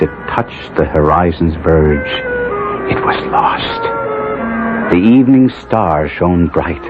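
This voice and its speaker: Cursed nobleman in a monstrous body, low voice, florid theatrical narration, anguished, vintage radio drama, thin phone-like sound. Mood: tense anticipation. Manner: theatrical, florid, deliberate storytelling.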